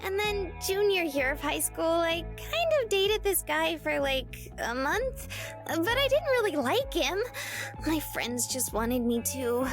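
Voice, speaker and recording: A woman's voice: very high voice, sing-song, unhurried, heavily acted. An actress playing a nervous, chatty, teasing young woman in love in a visual novel game, with music behind her. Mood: chatty, candid. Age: young adult.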